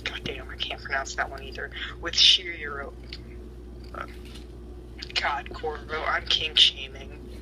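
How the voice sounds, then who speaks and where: A man giving a dramatic, breathy reading of fan fiction, with occasional stumbles. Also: on the high side, steady tone, slow, long pauses, noisy background.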